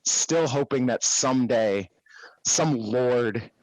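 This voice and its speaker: A man's voice: medium pitch, slow pace, no room sound, poor audio. A man co-hosting a podcast, chatting in a laid-back way, swearing freely and laughing often. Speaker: podcast co-host. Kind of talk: casual chat. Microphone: podcast microphone.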